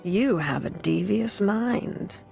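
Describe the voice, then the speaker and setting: A ruthless sci-fi game warrior. She sounds dry and sardonic, with plenty of vocal fry and cool self-assurance. Faint music runs underneath. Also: mid-range voice, expressive, takes it slowly, darkish tone, full character voice. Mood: wry, menacing. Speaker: video game voice actor. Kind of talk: scripted game cutscene dialogue.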